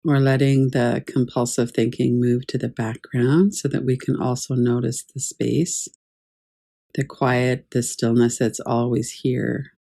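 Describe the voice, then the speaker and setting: A woman teaching guided meditation in a calm, measured, gently instructive way. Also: rumbling low, vocal fry. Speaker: yoga nidra meditation teacher.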